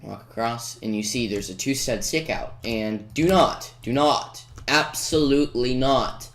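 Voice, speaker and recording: A man's voice: medium pitch, unhurried. A man giving casual tutorial commentary on a video game, hedging and correcting himself as he goes. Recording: roomy echo, faint noise with key clicks. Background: computer keyboard typing and clicks.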